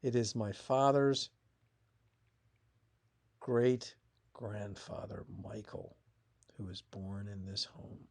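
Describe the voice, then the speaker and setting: A man narrating a scripted piece of family history as its author, in a measured reading with emphatic phrase openings that fall away. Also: on the low side, a little mellow. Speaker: author reading own essay.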